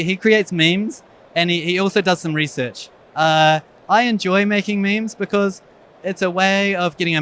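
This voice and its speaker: A man's voice: high-pitched, fairly bright tone, smooth. Man with a New Zealand accent. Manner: frank, self-deprecating, wry humor. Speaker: eye surgeon giving a conference talk. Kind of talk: conference presentation.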